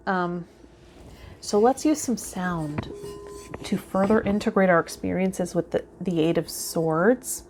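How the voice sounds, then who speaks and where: A woman with a somewhat low voice speaks straight to her viewers as a tarot reader. Her manner is gentle and reflective, like spiritual guidance in a vlog. Some faint noise sits behind her voice. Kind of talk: casual spiritual guidance talk to viewers, partly read out.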